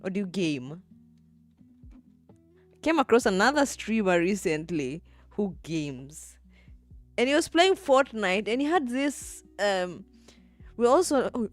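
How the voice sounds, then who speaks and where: A young woman in her twenties, live-streaming as she edits and chatting with viewers, thinking aloud with soft laughs. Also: mid-range voice, dry recording. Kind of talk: livestream, talking to chat while editing.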